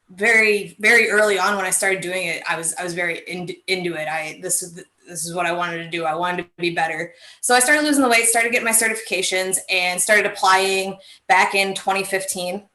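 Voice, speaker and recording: A woman's voice: lowish voice, sharp tone, echoey room, rough recording. A woman from Wisconsin introducing herself casually, chatty with frequent ums. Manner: open, chatty, hesitant fillers. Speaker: firefighter paramedic guest.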